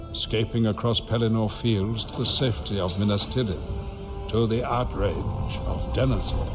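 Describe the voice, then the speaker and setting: Low-pitched old wizard narrator played by a man, solemn and foreboding fantasy game storytelling, music behind. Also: natural voice. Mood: solemn, challenging. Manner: solemn, portentous, deliberate. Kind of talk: dramatic scripted game narration.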